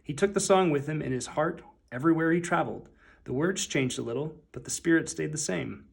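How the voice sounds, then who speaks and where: A man reading a children's picture book aloud as narrator, with deliberate, emphatic phrasing. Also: a little high, creaky, breathy edge.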